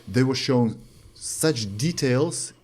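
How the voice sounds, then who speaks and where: A man's voice: mid-range voice, animated, slow pace, a little mellow. A man in his thirties from Lithuania, a native Russian speaker, hosting a studio podcast interview and speaking earnestly with frequent restarts. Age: 30s. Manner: earnest, searching for words, many restarts. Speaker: podcast host. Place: studio with green curtain. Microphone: studio mic on boom arm.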